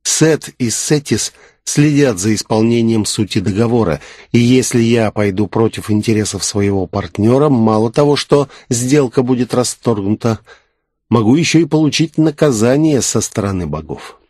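A man's voice: mid-range voice, lively intonation, normal speed, darkish tone, no echo, studio quality. A man reading a scripted first-person fantasy story as an audiobook narrator.

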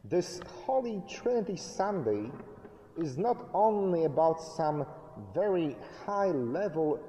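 Slow, deliberate middle-aged preacher in a church. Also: male voice, fairly high pitch, mellow, dull audio. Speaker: pastor. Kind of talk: sermon. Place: church sanctuary, indoors, at a lectern. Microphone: fixed camera at a distance. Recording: reverberant church hall.

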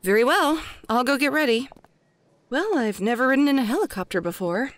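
A woman's voice: high-pitched, animated, brisk. An actress playing a wry, polite female doctor in an audio drama. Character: dry-humored female research doctor.

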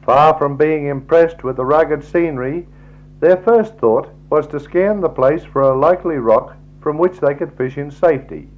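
A man with an Australian accent gives a measured, formal scripted travelogue narration in a 1960s style. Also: on the high side, slight background noise. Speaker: slideshow narrator. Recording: dull, lo-fi 1960s tape recording.

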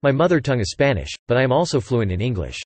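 A man reading English example sentences aloud for listening practice, in an even, clipped delivery. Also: mid-range voice, quick pace, a little hiss or hum.

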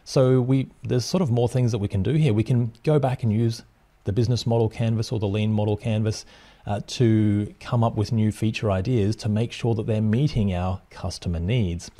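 A man's voice: lowish voice, moderate pace. A man in his thirties explaining project plans over slides, speaking steadily with occasional ums and uhs. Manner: plain, steady teaching with frequent fillers. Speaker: project management instructor.

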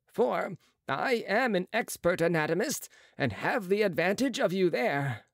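A sneering young surgeon, proud and boastful, as a reader voices him. His pitch sits well above the reader's own and leaps widely. The audiobook recording is dry and close. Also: animated, a little slow, gravelly, no room sound. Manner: boastful, lofty, sharp retorts. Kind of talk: audiobook character reading.

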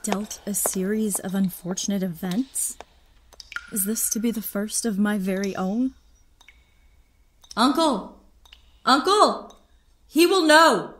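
Radio drama actress as proud imprisoned female captain: defiant, bitter, formal, mid-pitched, in a roomy echo. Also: slow, pauses often, a bit bright, a little hiss or hum. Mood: anguished fury. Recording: roomy, echoing sound.

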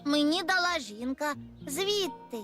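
A performer voicing a curious, cheerful little boy in a children's cartoon dub, with simple, excitable childlike talk. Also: sits high, measured pace, exaggerated voice. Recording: music behind the voice.

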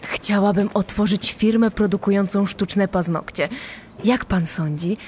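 A woman's voice: medium pitch, measured pace, darkish tone, a little hiss or hum, close to an everyday voice. A woman playing a rich, trusting older widow, admiring and eager, in a true-crime dramatization. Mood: admiring, curious.

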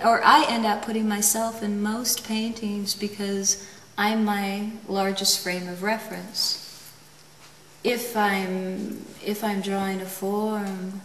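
Slow, musing woman in an echoey studio. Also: medium pitch, slight background noise. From United States. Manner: musing and unhurried, laughs often. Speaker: painter. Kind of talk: relaxed interview talk. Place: her studio. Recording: echoey studio, mic far off.

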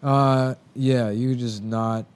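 A man in his thirties talks casually, as if hosting a comedy podcast among friends. His joking is crude and sarcastic, with an ordinary mid-pitched voice. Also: steady tone, slow, darkish tone, clean tone. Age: 30s. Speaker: podcast host.

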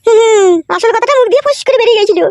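Squeaky pitched-up cartoon woman, nags and scolds, super suspicious, talks fast. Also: darkish tone, no echo. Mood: suspicious, sulky. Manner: scolding, questioning. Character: shrill, nagging, suspicious village mistress in a comedy cartoon. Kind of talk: amateur comedy cartoon dialogue.

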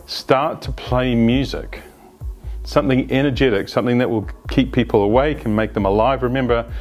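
A man in his fifties, an agile coach, gives emphatic tips straight to camera in a plain studio with a lapel mic. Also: medium pitch. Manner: animated, emphatic, gestures a lot. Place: plain indoor studio, grey backdrop.

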